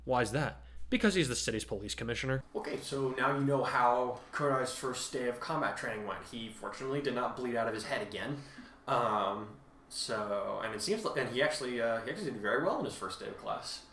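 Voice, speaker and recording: A man with a middling pitch talks casually as a host and author. He rambles and backtracks haltingly, in a noticeably echoey room. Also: sharp tone, far from the mic, slight background noise. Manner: halting, rambling, repeats himself. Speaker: podcast host and fan fiction author. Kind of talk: casual chat about own writing. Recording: roomy, echoing home recording.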